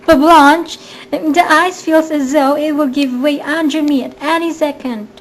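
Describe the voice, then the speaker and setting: A woman's voice: sits high, fairly even intonation, a little slow. A woman playing a timid, trusting woman in an amateur radio drama, anxious, hesitant and pleading. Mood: fearful, reluctant. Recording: faint background noise, amateur recording.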